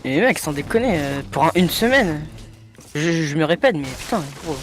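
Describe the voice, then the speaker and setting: A man chatting casually during an online game, excitable and swearing, often stammering. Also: fairly high pitch, normal speed, soft background music. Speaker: gamer streaming a match. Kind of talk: casual gaming chat.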